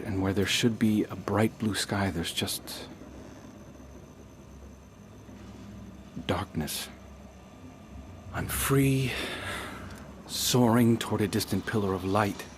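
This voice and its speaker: Low-voiced, brooding haunted man. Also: slow pace, a little hiss or hum. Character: troubled young man, brooding scout haunted by vivid dreams. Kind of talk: dramatic video game dialogue.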